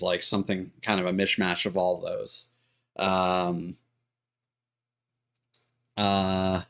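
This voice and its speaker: A man's voice: on the low side, vocal fry, fairly bright tone. A man livestreaming a video game and chatting casually with viewers, hesitant and rambling, often trailing off. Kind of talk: casual chat with stream viewers.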